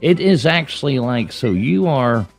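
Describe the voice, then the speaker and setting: A man's voice: medium pitch, expressive, a little slow, vocal fry, soft background music. A man hosting a casual livestream chat from a pub, chatty and rambling, laughing often. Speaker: livestream host. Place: Irish pub.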